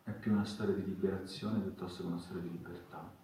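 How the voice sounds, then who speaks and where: A man's voice: low-pitched, little pitch movement, fast talker, warm tone, slight background noise. A man giving a reflective personal testimony, recorded very echoey and far from the mic. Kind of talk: reflective spoken testimony about personal experience.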